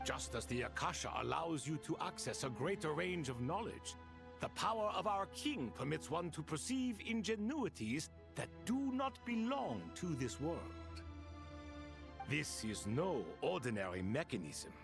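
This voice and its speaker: Ancient royal servant from a video game, creaky and grandiose, cryptic, music behind him. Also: male voice, on the high side, sing-song, full character voice. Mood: mysterious, proud. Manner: grandiloquent, deliberate storyteller. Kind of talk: dramatic video game voice acting.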